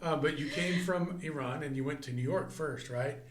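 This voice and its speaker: A man's voice: on the high side, normal speed, heavy background noise. Teasing male podcast host in an echoey room. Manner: teasing, jokey, prompts with questions. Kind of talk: casual interview chat.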